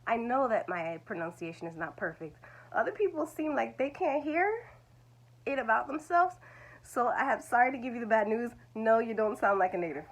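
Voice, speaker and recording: A woman's voice: fairly high pitch, expressive, normal speed, low-quality recording. A woman, teaching language learners in a reassuring, repetitive way. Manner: reassuring, repeats "it's okay". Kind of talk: talking-head video lesson.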